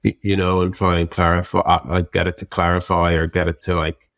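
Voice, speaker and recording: A man with a very low voice talks in a casual interview, thinking aloud as he explains. The sound is close and very dry, with hardly any room on it. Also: monotone, a little fast, gravelly, fairly bright tone, dry recording. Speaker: interview guest, tech product executive.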